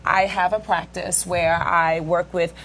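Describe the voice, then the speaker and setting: A woman's voice: lowish voice, level tone, unhurried, gravelly, fairly bright tone, a little hiss or hum, poor audio. A woman in her forties, a guest therapist, explaining things in an interview and checking in with "right?" and "okay?". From United States. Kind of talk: interview talk.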